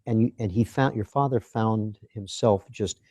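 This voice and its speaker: A man hosting a live interview at a museum, introducing the guest and asking questions in a measured way. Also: on the low side, mellow, dry recording, clean studio sound. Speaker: interviewer and host.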